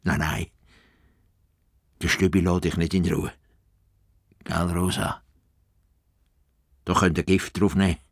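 A man's voice: low voice, level tone, slow pace, creaky, long pauses, exaggerated voice. A man acting an indignant, stubborn old farmer in a radio play, with a Swiss German accent and a grumbling, emphatic manner. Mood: grumbling, bitter. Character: stubborn, indignant old male Swiss farmer and self-appointed world reformer.

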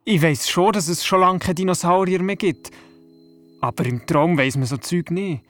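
A performer playing an excitable young boy who retells his dream vividly and naively in a children's radio play. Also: mid-range voice, moderate pace, natural voice. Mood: curious. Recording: music behind the voice. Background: music.

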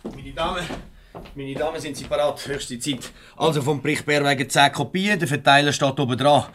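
An actor playing a hurried, businesslike office boss with a Swiss German accent, giving formal instructions in 1970s radio comedy style. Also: male voice, on the high side, fairly bright tone, a little hiss or hum, close to an everyday voice.

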